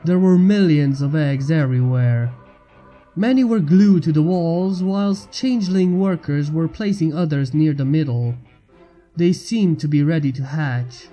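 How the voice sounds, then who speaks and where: Guy narrating a story, higher voice, super soft and mellow, music in the background. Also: a little slow, low-quality recording. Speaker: narrator, fan fiction reader. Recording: music bed behind the narration.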